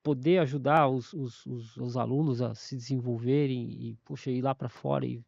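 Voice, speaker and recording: A man's voice: medium pitch, fairly even intonation, normal speed, creaky, a little mellow, no echo, lo-fi sound. A man, a university staff member giving a casual testimony, often stumbling, restarting and repeating words.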